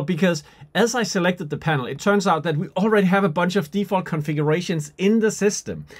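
A man in his forties with a somewhat high-pitched voice presents an unscripted tech tutorial. He thinks aloud as he works, pausing and picking up again while he explains each step. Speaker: product demonstrator. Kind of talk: unscripted software tutorial and product demo.

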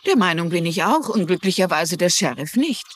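Eine Schauspielerin spielt in einem synchronisierten TV-Drama eine gefasste, wissbegierige ältere Frau, die bedacht und deutlich spricht. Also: female voice, on the low side, expressive, fairly quick, slight background noise, lightly acted. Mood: grave, measured. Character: composed, inquisitive older woman, a former teacher turned sleuth. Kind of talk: dubbed TV drama dialogue in German.

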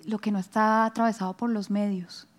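A woman's voice: mid-range voice, little pitch movement, brisk. A middle-aged Colombian woman answering audience questions as a guest speaker, explaining and thinking aloud into a headset mic. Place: stage of a large auditorium.

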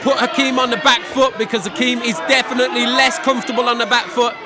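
British male fight commentator, very high pitch, analytical, loud crowd and hall noise behind. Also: flat delivery, fast, loud music behind the voice. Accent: British English. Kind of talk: ringside commentary. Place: function hall at a kickboxing event.

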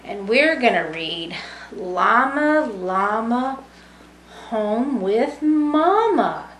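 A woman in her thirties reads a picture book aloud to young children in simple, child-directed talk. Her voice sits a little high and she takes her time. The room is echoey, with faint noise behind her. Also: very animated, extremely slow, a bit bright. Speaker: student volunteer reading a picture book to children. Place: small room with brick wall, indoors.

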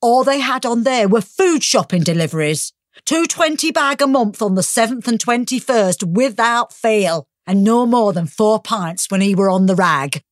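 A woman's voice: a little high, lively intonation, normal speed, dry recording, studio quality, natural voice. A woman playing a bitter, hard-drinking working-class mother with a Northern English accent, blunt and sweary, in a gritty audiobook drama. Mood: angry, accusing.

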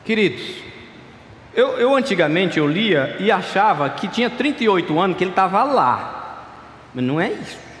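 A man's voice: fairly high pitch, a little hiss or hum. Man in his thirties preaching passionately. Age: 30s. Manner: passionate, swings from loud pleading to quiet asides. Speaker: pastor. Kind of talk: sermon. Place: large dark church stage at a pulpit. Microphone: stand mic at a clear pulpit. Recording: echoey church hall, faint ambience.

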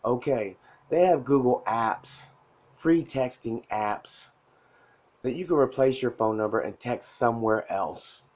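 Man, soft-spoken, blunt cursing pep talk, middle pitch, muffled lo-fi phone recording. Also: unhurried. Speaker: self-help vlogger. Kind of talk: casual motivational talk to camera. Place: indoor room at a whiteboard. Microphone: phone camera mic.

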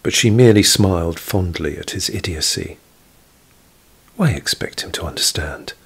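A man performing as the brooding narrator of a period romance audio drama, with a measured, deliberate literary delivery. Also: low-pitched, lively intonation, a bit bright, slightly rough, heavily acted. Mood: grave, brooding.

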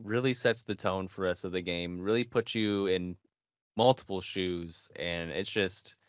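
Laid-back male podcast co-host, 30s, lowish voice, halting delivery, dry slightly dull audio. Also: fairly even intonation, dry recording. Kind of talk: casual chat about video games. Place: home bedroom. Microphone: headset, video call.

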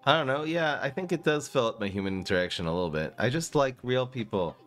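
A man's voice: mid-range voice, lively intonation, quick pace, a bit bright, faint music behind. A man in his thirties casually commentating his card game on a live stream, joking and rambling as he plays. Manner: joking, rambling, often laughs at himself. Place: small room indoors.

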